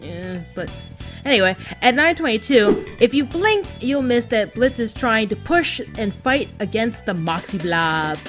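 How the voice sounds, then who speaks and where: Gal doing chatty episode commentary, casual n giggly, music pretty loud behind her. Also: fairly high pitch, animated, normal speed, hardly any pauses. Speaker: co-host of a fan recap.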